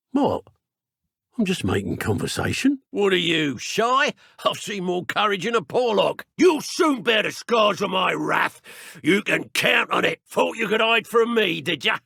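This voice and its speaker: A man with a British accent acting a menacing, taunting dark wizard poacher in a video game, in a theatrical, colloquial way. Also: high voice, expressive, unhurried, gravelly, slightly rough, no room sound, clean studio sound, heavily acted. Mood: chatty, teasing.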